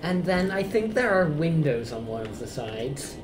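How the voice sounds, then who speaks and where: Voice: medium pitch, sing-song, normal speed. Someone chatting casually and jokingly while co-hosting a video game playthrough. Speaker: gaming video co-host playing the game. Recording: echoey room with noticeable background noise.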